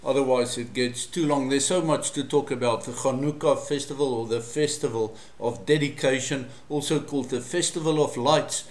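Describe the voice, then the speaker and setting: A man's voice: mid-range voice, steady tone. Afrikaans-man teaching in an echoey room. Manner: measured and explanatory, with frequent fillers. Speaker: Bible study presenter. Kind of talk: solo teaching monologue to camera.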